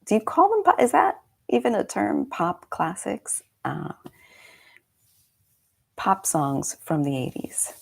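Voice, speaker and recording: A woman's voice: medium pitch, huge pitch swings, takes it slowly, gravelly, long pauses, breathy edge. A woman in her forties, a meditation coach, chatting casually on a live stream, slightly congested and rambling through friendly asides. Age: 40s.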